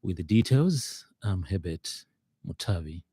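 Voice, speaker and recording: A man's voice: low-pitched, animated, unhurried, warm tone, husky, no room sound. A man with a Zambian accent reading a formal radio news bulletin in a studio as the news reader.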